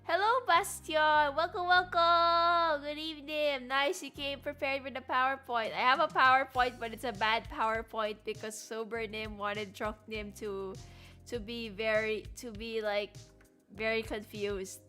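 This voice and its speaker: A woman's voice: on the high side, steady tone, a little slow, pushed and bright, soft background music. A young woman from the Philippines, tipsy and giggly, casually teaching history on a livestream and talking to her chat. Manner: giggly, loose, repeats herself. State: tipsy from drinking. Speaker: streamer.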